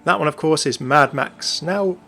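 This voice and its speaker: A man's voice: on the high side, normal speed, creaky, sharp tone, faint music behind. A man presenting scripted video game lore commentary in a chatty, enthusiastic manner.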